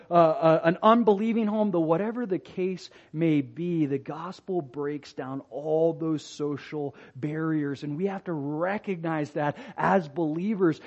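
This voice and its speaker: A man with a high voice delivers a sermon with earnest conviction. He drops quiet and slow for his central points, then climbs loud for the passages he quotes. The recording is dull and lo-fi. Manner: earnest, swings from soft and slow to loud and raised. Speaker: preacher. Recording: dull lo-fi sound.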